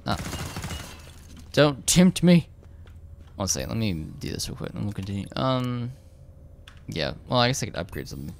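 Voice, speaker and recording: Low-voiced rambling young gamer man. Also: sing-song, normal speed, long pauses, a little hiss or hum. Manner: rambling, hesitant, frequent filler words and restarts. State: slightly hoarse. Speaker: gameplay commentator. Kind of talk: casual commentary over gameplay. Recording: game gunfire loud behind voice. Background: shooter game sounds and gunfire.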